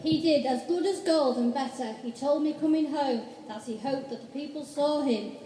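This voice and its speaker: A woman playing a gentle, kindly poor clerk and devoted father in a radio play. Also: high voice, normal speed, slight background noise, close to an everyday voice. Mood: fond. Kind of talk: amateur stage radio play acting. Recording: echoey hall with long reverb.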